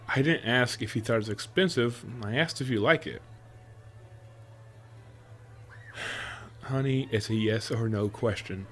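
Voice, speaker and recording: A man's voice: mid-range voice, a bit bright. A man playing an affectionate, playful boyfriend in a roleplay audio drama, talking in a teasing, coaxing way. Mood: insistent, teasing. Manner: teasing, chuckles often, coaxing. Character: affectionate playful boyfriend spoiling his sweetheart with surprises.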